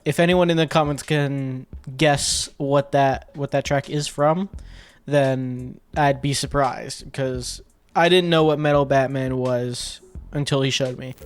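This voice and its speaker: A man's voice: medium pitch, steady tone, unhurried, clear, resonant tone. A man giving a casual, step-by-step commentary as a video editor breaking down his sound design work. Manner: informal, explains step by step. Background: music tracks and sound effects being demonstrated.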